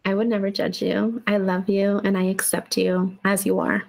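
Young woman from Chihuahua, casual co-host, animated, laughing storyteller. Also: medium pitch, normal speed, vocal fry. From Mexico, Chihuahua. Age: young adult. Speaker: podcast co-host. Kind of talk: casual chat.

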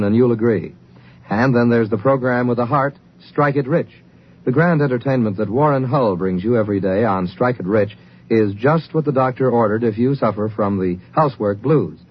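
A man with an American accent working as a radio network announcer, reading a scripted promotion in 1950s style. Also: mid-range voice. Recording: muffled old radio recording, faint noise.

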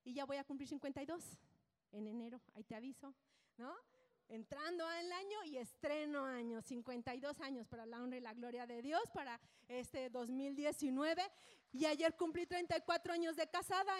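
A woman gives a personal testimony to a congregation, telling it as an animated, colloquial story with repeated phrases and acted-out dialogue. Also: high-pitched. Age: 50s. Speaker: church speaker giving testimony. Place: large indoor hall, speaking to an audience. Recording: church hall with long reverb.

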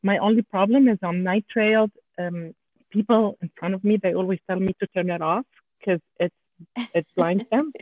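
A woman with a French Canadian accent, a podcast guest chatting in a halting way with many restarts. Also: medium pitch. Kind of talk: casual podcast chat. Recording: tinny phone-line audio.

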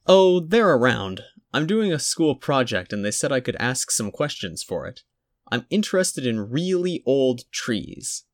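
A man's voice: medium pitch, very animated, measured pace, no room sound, clean studio sound, pitched above the performer's own voice. A man voicing a small, polite and eager boy.

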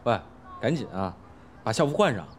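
An actor playing a brash, teasing male high school student in an audio drama, with a casual, slangy, blunt way of talking. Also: big pitch swings, normal speed, a little hiss or hum, lightly acted. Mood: teasing, impatient. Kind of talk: Chinese audio drama acting.

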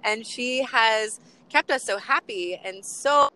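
A woman co-hosting from a car, bubbly and rambling, her voice worn low from chanting. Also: animated, slow, pushed and bright, rough recording. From US West Coast. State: voice getting lower from chanting. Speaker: co-host. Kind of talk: casual co-host chat, road trip update.

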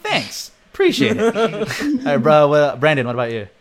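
A man's voice: a little high, wildly swooping pitch, normal speed, crowd noise. A young man hosting a casual chat, reading out listener comments and laughing often. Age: young adult. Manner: laughs often, upbeat and chatty. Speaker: host.

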